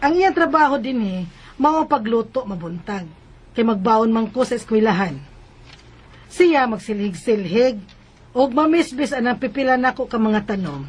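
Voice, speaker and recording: An actress playing a reassuring, businesslike unmarried schoolteacher with a Cebuano accent in a radio drama. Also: female voice, fairly high pitch, expressive, normal speed, slightly rough. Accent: Cebuano Filipino. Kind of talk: Filipino radio drama acting.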